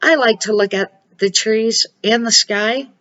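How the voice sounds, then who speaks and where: A woman's voice: fairly high pitch, measured pace, fairly bright tone, muffled recording. A woman voicing a small baby robin in a storybook, simple and plaintive. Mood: wistful.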